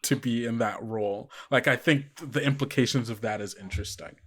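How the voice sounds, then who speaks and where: A man co-hosting a podcast, casually talking through ideas for a tabletop role-playing game in a halting, think-aloud way. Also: mid-range voice, a little hiss or hum. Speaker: podcast host and game facilitator. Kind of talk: casual unscripted tabletop role-play discussion.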